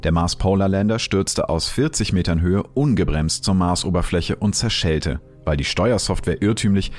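Deep-voiced male science narrator. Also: moderate pace, a little mellow, faint music behind. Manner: clear, explanatory.

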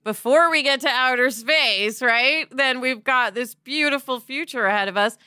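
A middle-aged woman co-hosting a podcast, chatting in a lively way with lots of asides. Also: high voice, normal speed, clean tone, no echo, clean studio sound. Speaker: podcast co-host. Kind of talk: casual podcast discussion.

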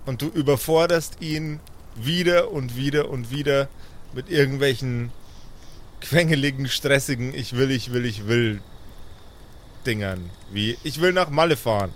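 A man playing an exasperated but caring adventurer in an improvised tabletop audio play, insistent and lecturing in a halting way. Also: mid-range voice, slight background noise, natural voice. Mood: lecturing, pleading. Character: exasperated, caring male adventurer, pleading for reason.

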